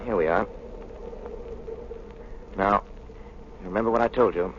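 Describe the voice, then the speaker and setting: A man playing a composed, analytical gentleman sleuth in old radio drama style, refined and calmly deliberate. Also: on the low side, close to an everyday voice. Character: composed, urbane, analytical male amateur sleuth. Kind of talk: 1940s radio drama acting. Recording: dull, noisy old radio recording.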